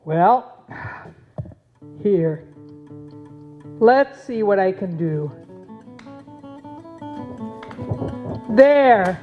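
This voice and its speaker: A woman playing a cheerful, dreamy, kind-hearted apple planter in a puppet show, with wondering, drawn-out exclamations. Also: mid-range voice, lively intonation, phone-quality sound, soft background music, voice pushed down. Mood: worried, startled. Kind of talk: puppet show acting with sung passages.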